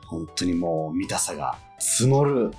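A man's voice: deep voice, very animated, measured pace, darkish tone. A man hosting a chatty radio interview, reacting often with short interjections and emphatic agreement. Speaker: radio host. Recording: faint music behind the voice. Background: faint music.

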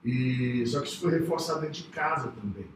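A man, a psychologist guest, telling his own story in an earnest, halting way with many restarts. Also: mid-range voice, slight background noise. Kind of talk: personal testimony in casual talk. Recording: echoey room, voice from far off.

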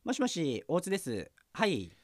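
Male actor as exasperated junior cop, high-pitched, animated delivery, sarcastic, dutiful, comic radio play. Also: normal speed, dry recording, studio quality. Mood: businesslike. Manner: dutiful politeness breaking into muttered insults. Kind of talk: comic radio drama acting.

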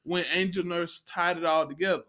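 A man with an American accent and a notably high voice, bright and forceful. He talks casually among castmates, emphatic and slangy, circling back over his phrases. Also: fairly even intonation, a little fast. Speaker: stage play cast member. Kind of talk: casual group chat on a livestream.

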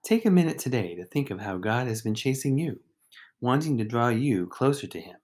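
A man reading a scripted daily devotional as a deacon and host, phrasing it deliberately in short, measured units. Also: medium pitch, lively intonation, a little mellow. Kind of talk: scripted devotional reflection, read aloud.

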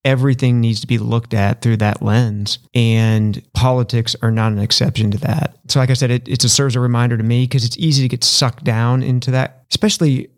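A man's voice: mid-range voice, fairly even intonation, brisk, few pauses. Earnest man in casual podcast talk. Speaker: podcast co-host. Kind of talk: casual discussion.

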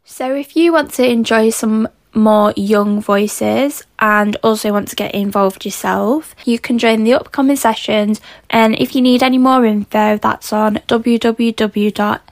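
A woman's voice: a little high, fairly even intonation, a little slow, no room sound. A woman reading a scripted promotional announcement.

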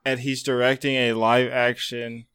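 A man with a smooth, clean voice chats casually as a film podcast co-host. The recording is dry, with almost no room around him. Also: medium pitch, level tone, moderate pace, fairly bright tone, no echo.

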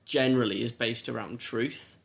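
A man with an average-pitched voice chats as co-host of a pop culture show. His delivery is informal and conversational, and he phrases his views tentatively. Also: steady tone, normal speed, vocal fry, a little hiss or hum. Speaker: podcast co-host. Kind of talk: casual pop culture chat.

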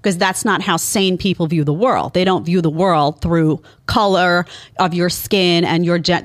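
A woman hosting an opinion show, delivering a sarcastic, incredulous, emphatic monologue. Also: fairly low pitch. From Italian family background. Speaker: show host.

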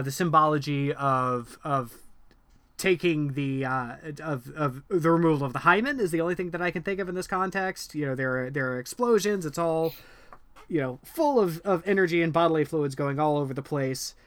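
A man co-hosting a casual podcast about a book, animated and hesitant, full of ums and restarts, reading passages aloud quickly. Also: a little high, clean tone, no room sound. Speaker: podcast co-host discussing a book. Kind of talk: casual conversational chat.

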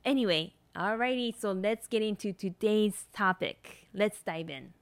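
A woman's voice: mid-range voice, measured pace. A woman in her thirties from Japan with a Japanese accent, a nurse practitioner hosting a podcast and talking earnestly with many ums and you-knows. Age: 30s. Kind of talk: solo podcast monologue.

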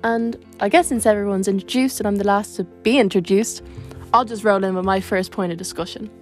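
A woman's voice: medium pitch, fairly even intonation, a little fast, music in the background. A nineteen-year-old woman student co-hosting a podcast, making an earnest, emphatic argument and repeating her points. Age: young adult.

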